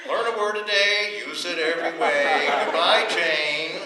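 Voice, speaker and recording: A man's voice: fairly high pitch, fairly even intonation, measured pace, music in the background, close to an everyday voice. A man playing a wry, put-upon, grumbling husband who narrates an old-time radio comedy. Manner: dry, wisecracking, grumbling. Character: wry, put-upon husband telling his domestic troubles. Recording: echoey room, distant mic, faint noise.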